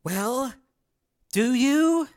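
A man's voice: very high voice, lively intonation, extremely slow, a little mellow, heavily acted. A man voicing a tough, devoted young woman who speaks bluntly and earnestly in audiobook dialogue. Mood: challenging, hurt.

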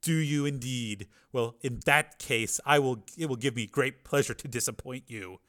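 A man's voice: mid-range voice, moderate pace, a bit bright, natural voice. A man voicing a proud, venomous male crime boss, a father, in a thriller audiobook. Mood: bemused.

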